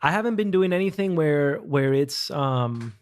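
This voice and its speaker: A man's voice: on the high side, expressive, normal speed. Easygoing man from Costa Rica. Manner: easygoing, joking. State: stuffy from a cold. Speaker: singer, podcast guest. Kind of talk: casual podcast chat. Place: studio.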